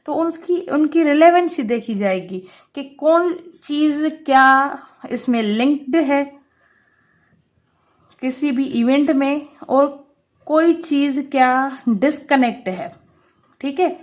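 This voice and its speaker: A woman's voice: high-pitched, slow pace. A woman giving an explanatory law lecture, mixing in English terms and often restating her points. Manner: repeats and rephrases points, checking in with the listener.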